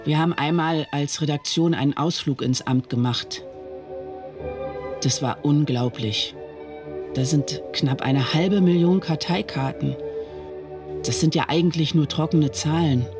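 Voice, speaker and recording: A thoughtful radio staffer looking back on his work. His voice is slightly high and reflective, with music clearly playing behind it in a radio play. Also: a little slow. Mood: moved, reflective. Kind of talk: recollection in a radio documentary play.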